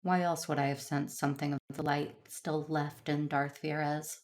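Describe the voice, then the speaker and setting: Low female voice, woman acting as resolute embittered Jedi warrior, solemn, earnest, audio drama. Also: little pitch movement, normal speed, a little mellow, lightly acted. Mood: brooding, somber. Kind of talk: first-person narration and dialogue in audio drama.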